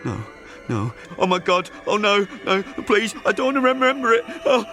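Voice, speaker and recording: A man's voice: high voice, very animated, moderate pace, over music. A man playing a distraught mining engineer crying out in fear and pain in an audio drama. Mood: panicked anguish.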